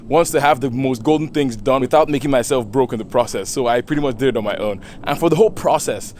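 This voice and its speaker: A young man chats through a how-to video outdoors beside his car in a loose, goofy way. He often laughs and circles back to restart his sentences, and his voice sits at an ordinary middle pitch. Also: quick pace, a little mellow, slight background noise. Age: young adult. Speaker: car vlogger. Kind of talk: casual how-to vlog.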